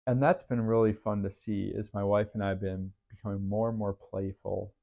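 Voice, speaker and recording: Deep-voiced earnest man, muffled podcast. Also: level tone, normal speed, creaky. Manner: earnest, faith-based, thoughtful. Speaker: podcast host. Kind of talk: reflective solo podcast monologue.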